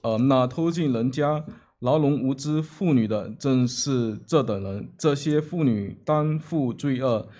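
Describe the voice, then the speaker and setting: A man's voice: mid-range voice, measured pace, dark tone. Man interpreting a sermon live at a church table mic, lots of uhs and restarts. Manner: hesitant, many filler sounds and restarts. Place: church sanctuary, seated at the front table. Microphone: table microphone.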